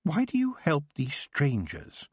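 A man's voice: on the high side, wildly swooping pitch, unhurried, slightly rough, no room sound, voice pushed up, exaggerated voice. Man voicing a cold emotionless elf woman. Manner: cool, detached, probing.

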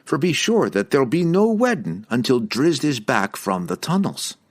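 Male narrator as young woman, assertive, sharp-tongued, rustic dialect, raised pitch, fantasy storybook. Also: sing-song, normal speed. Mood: firm, defiant. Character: sharp-tongued, firm young woman, dwarf king's daughter.

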